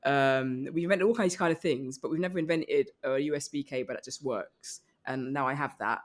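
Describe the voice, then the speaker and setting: A woman comedian chatting casually as a podcast guest, riffing in a rambling, animated comic way. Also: a bass voice, a little fast. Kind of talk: casual comic panel chat.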